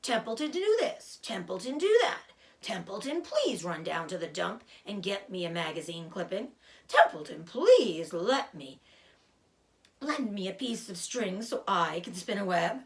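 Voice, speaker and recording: A woman's voice: medium pitch, big pitch swings, measured pace. A woman voicing a greedy, self-pitying old male rat in a storybook, complaining and mocking theatrically. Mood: sarcastic, disgusted.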